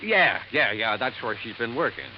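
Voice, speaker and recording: An actor playing a shifty, defensive suspect in a 1950s radio drama, evasive and stammering. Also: male voice, mid-range voice, lively intonation, normal speed, muffled recording, close to an everyday voice. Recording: noisy old radio broadcast.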